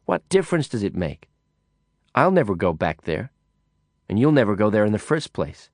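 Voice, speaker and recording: A man's voice: on the low side, very animated, normal speed, no room sound, clean studio sound. A man voicing a brash, hard-drinking woman in an audiobook, blunt, profane and sneering. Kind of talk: audiobook character voice.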